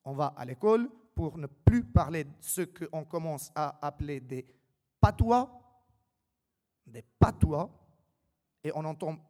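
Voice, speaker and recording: A man from Albania addresses a public gathering in a somewhat high-pitched voice. He speaks off the cuff with wry irony, leaning on rhetorical questions and repetition. The sound carries the long reverb of a hall. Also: animated, unhurried, long pauses, mellow. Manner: wry, ironic humour. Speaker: invited speaker. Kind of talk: public talk, semi-improvised.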